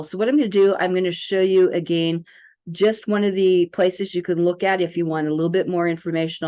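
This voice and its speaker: A woman's voice: on the low side, mellow. Chatty woman, dull lo-fi sound. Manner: chatty, informal, rambling. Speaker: presenter demonstrating a wellness app. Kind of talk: casual product tutorial. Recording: dull, lo-fi audio.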